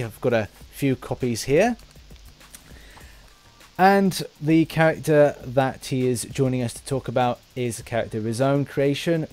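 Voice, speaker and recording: An Englishman hosting a casual podcast interview for a gaming channel, with halting, rambling explanations. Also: medium pitch, darkish tone. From England.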